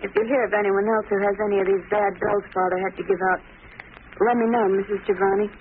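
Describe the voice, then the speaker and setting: A woman's voice: medium pitch, level tone, fast, close to an everyday voice. A young woman playing a brave, defiant and protective grocer's daughter, with plain working-class speech in vintage radio drama style. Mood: resolute. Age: young adult. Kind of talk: 1940s radio drama acting. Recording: tinny noisy old radio recording.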